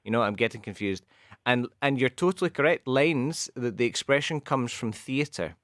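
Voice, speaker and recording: A man's voice: mid-range voice, animated, moderate pace, fairly bright tone, no room sound, clean studio sound. A man with a Glasgow accent co-hosting a radio show, patiently explaining English football expressions and slipping into Spanish now and then. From west of Scotland. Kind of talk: bilingual radio chat, explaining football idioms.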